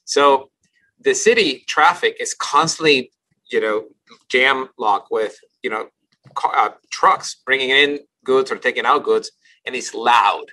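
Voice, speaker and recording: Bright-voiced man from Ecuador. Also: mid-range voice, expressive, unhurried, poor audio. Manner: reflective, many restarts and repeated words. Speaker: guest, company CEO. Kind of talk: casual interview storytelling.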